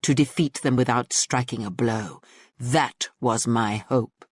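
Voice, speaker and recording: A man voicing a mysterious, cryptic mentor in a radio drama, calm and solemn. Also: medium pitch, measured pace, close to an everyday voice.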